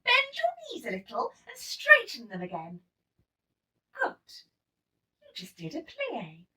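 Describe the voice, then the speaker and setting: A woman's voice: on the high side, wildly swooping pitch, slow pace, sharp tone, recorded from a distance, heavily acted. A woman reading a storybook part as a kind female ballet teacher, explaining steps in an instructive, emphatic way. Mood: encouraging, instructing.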